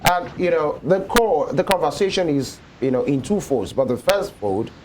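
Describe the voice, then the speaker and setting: A man's voice: fairly high pitch, expressive, normal speed, a little mellow, slight background noise, low-quality recording. A man hosting a live morning TV talk show, chiming in and probing with questions. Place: television studio.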